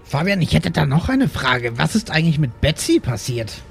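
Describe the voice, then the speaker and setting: A man's voice: fairly high pitch, big pitch swings, moderate pace, gravelly, soft background music. A man speaking casually as a player in an improvised tabletop role-playing game.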